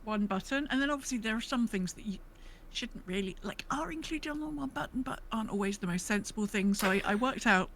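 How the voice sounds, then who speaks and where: A woman's voice: on the high side, expressive, a little fast, a bit bright. Rambling woman, noisy background. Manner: rambling, thinking aloud. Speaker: podcast co-host. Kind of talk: casual gaming podcast chat.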